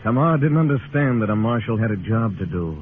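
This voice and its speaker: A man's voice: on the low side, lively intonation, fairly quick, slight background noise, lightly acted. An American man playing a stern frontier marshal in an old radio western, telling his story in a laconic, hard-boiled way. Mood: grave, reflective. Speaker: narrating lead actor. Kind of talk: old-time radio western acting with first-person narration. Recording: tinny old radio recording with music.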